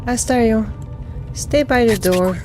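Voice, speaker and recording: A woman playing a video game in character, talking deliberately and softly to her companions as she commentates. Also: on the high side. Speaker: gamer roleplaying her own character. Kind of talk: immersive roleplay game commentary. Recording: game music behind her.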